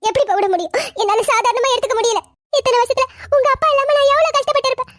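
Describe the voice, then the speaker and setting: Weepy long-suffering mom in a tv melodrama, super high voice, bone dry sound. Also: female voice, rapid-fire, fairly bright tone, dry recording. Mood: tearful grief. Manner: plaintive, lamenting. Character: tearful long-suffering mother of a grown son. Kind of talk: melodramatic serial acting.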